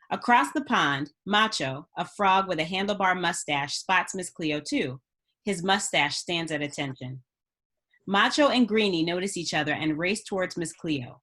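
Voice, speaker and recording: A woman's voice: on the low side, a bit bright. A woman narrating stage directions from a script in a scripted reading style.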